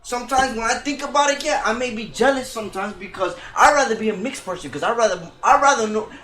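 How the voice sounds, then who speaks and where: A man with a high, bright voice rambles emphatically through a casual podcast chat. He keeps checking that his listeners follow him. The sound carries a roomy echo. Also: fast talker. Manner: rambling, emphatic, keeps asking "you feel me". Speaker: podcast co-host.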